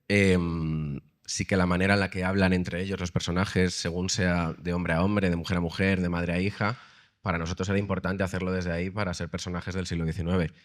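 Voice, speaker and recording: Brief: male actor, low monotone, halting. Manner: thoughtful, hesitant speech. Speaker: actor on a panel. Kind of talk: panel discussion.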